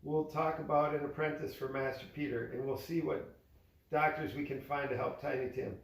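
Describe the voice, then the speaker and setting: A man's voice: on the high side, fairly even intonation, normal speed, creaky, echoey room, recorded from a distance, poor audio. A man voicing an emphatic old miser who has turned generous, in a storybook reading. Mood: hearty, generous. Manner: growling, emphatic.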